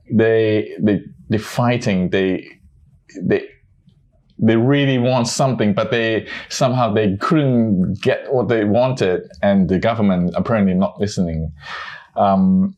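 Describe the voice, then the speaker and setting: A middle-aged man from Hong Kong, a photojournalist speaking in an interview, halting and searching for his words with many restarts. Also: lowish voice, darkish tone. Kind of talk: interview, personal reflection.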